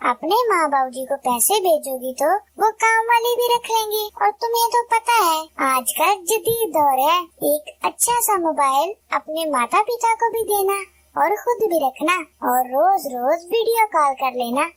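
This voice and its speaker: A woman's voice: very high voice, lively intonation, a little slow. A woman voicing a scheming, sweet-talking female bird in a cartoon, coaxing and persuasive.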